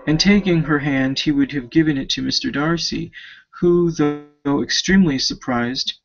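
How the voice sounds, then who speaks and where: Male amateur reader, slightly high-pitched and creaky, halting audiobook delivery, dull lo-fi sound. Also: soft background music. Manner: halting, stumbles and rereads words. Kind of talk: amateur audiobook reading of a classic novel. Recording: dull lo-fi home recording.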